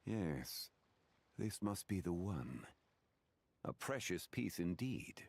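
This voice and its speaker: A man playing a composed, scholarly gentleman in a video game, speaking formally and contemplatively. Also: low voice, sing-song, slow, slightly rough, no echo, exaggerated voice. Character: refined, composed, scholarly gentleman of old wisdom.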